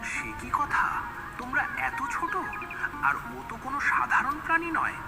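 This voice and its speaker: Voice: high voice, fairly even intonation, normal speed. A performer voicing a concerned heron in a cartoon, fretful and protective, full of questions. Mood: startled, advising. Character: concerned heron advising two little ducklings. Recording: loud music behind the voice.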